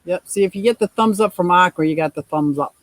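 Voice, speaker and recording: A woman in her sixties with a deep voice, hosting a casual livestream, reading viewer comments and laughing often in a gushing, playful way. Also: fast talker, dry recording. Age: 60s. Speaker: livestream host.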